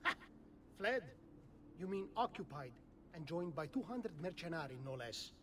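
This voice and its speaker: A man's voice: a little high, sing-song, moderate pace, a bit bright, recorded from a distance, a little hiss or hum. An actor voicing a proud, shrewd older nobleman who speaks in a haughty, clipped manner.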